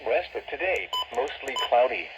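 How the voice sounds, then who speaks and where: A man's voice: medium pitch, big pitch swings, normal speed. An American man's synthetic announcer voice reading a scripted weather bulletin through a radio speaker. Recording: muffled, noisy weather radio speaker in room.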